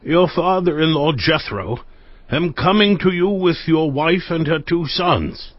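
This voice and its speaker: Man playing Jethro, a slow, dignified elder. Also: a little high, expressive, no room sound, dull audio. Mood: dignified greeting. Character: Jethro, dignified older father-in-law and desert priest. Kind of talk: dramatized scripture acting.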